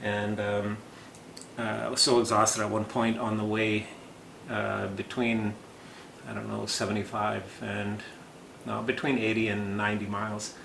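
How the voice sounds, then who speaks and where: A man hosting a casual interview podcast, talking haltingly with lots of fillers and easy laughs. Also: fairly low pitch, little pitch movement, creaky, a bit bright. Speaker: podcast host. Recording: echoey room with faint noise.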